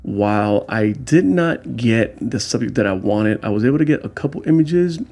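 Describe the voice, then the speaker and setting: A man's voice: medium pitch, animated, normal speed, darkish tone. A man hosting his own vlog, talking through his street photos in a casual, explanatory voiceover with frequent fillers. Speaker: street photographer and channel host.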